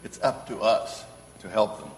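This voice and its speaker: A man's voice: fairly low pitch, animated, normal speed, lightly acted. Man playing a jolly old Santa, echoey hall. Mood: kindly. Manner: gentle, chuckling, avuncular. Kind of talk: old-time radio drama acting. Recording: echoey hall, faint noise.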